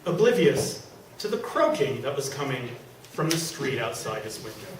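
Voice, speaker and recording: A man's voice: medium pitch, moderate pace. Echoey, distant man reading comic fiction aloud. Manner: animated, exclaiming, digressive asides. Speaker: author reading own fiction. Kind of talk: comic story reading to a live audience. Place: public reading event in a venue. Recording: echoey room from far off, faint noise.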